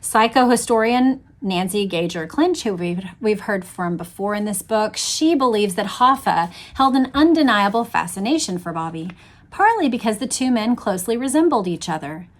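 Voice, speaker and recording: A woman hosting an expressive book read-aloud, with engaged casual asides addressed straight to her listeners. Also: medium pitch, normal speed. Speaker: host reading a book aloud with commentary.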